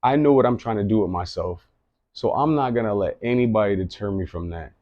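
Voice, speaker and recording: Man in his late 30s, low voice, earnest and rambling, dry muffled sound. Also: brisk, no room sound. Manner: earnest, rambling, repeats himself. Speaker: vlogger. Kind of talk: reflective personal monologue.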